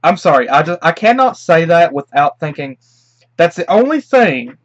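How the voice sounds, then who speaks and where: A young man with a stuffy head cold chats casually as a reaction host. His voice sits a bit high and moves a lot, rambling and excitable. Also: moderate pace, highs cut off.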